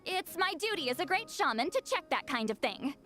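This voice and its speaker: High, haughty shaman woman. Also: big pitch swings, brisk, a bit bright, faint music behind. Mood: flustered. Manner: haughty, hesitant when flustered. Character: proud, easily flustered great shaman woman, aloof granny. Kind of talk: anime game voice acting.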